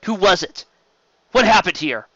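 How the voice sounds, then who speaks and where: A man's voice: high voice, animated, normal speed, fairly bright tone, dry recording, muffled recording, voice pushed up. A man voicing a concerned, authoritative starship captain in a science fiction story. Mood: urgent concern.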